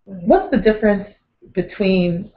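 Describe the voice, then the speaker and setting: A student asks hesitant questions in a language class. The voice sits at a middle pitch. The recording is dull and lo-fi. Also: takes it slowly.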